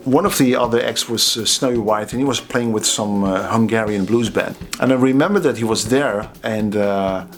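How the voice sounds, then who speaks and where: A middle-aged Dutch drummer with a Dutch accent, telling anecdotes in a chatty, casual way to the camera. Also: male voice, fairly low pitch, moderate pace, hardly any pauses, soft background music. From Netherlands. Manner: chatty, anecdotal, laughs at his own stories.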